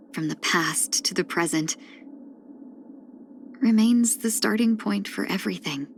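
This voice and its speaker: A woman voicing a video game character, a gentle, wistful fox-woman who speaks softly and politely in measured, reflective lines. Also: on the low side, a little hiss or hum. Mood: wistful, composed. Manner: soft-spoken, measured, reflective, drifting into breathy near-whispers; polite and refined.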